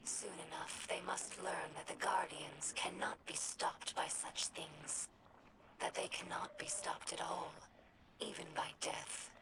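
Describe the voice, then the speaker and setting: Whispery young alien scribe. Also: female voice, lowish voice, fairly even intonation, rough recording. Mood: sober, resigned. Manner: measured, formal, reflective storytelling. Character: earnest young female alien scribe, thoughtful and gentle. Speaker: scribe recording her house's history. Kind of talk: scripted in-game lore narration.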